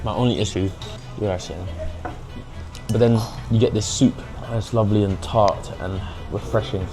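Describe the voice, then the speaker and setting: Low-pitched young British man chatting casually. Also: steady tone, normal speed, music in the background, rough recording. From England. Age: young adult. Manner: informal, chatty, mixes Mandarin phrases into English. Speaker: food vlogger. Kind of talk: casual food review vlog.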